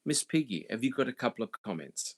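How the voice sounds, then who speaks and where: A man hosting a casual panel podcast, speaking haltingly with many fillers. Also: mid-range voice, big pitch swings. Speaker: podcast host.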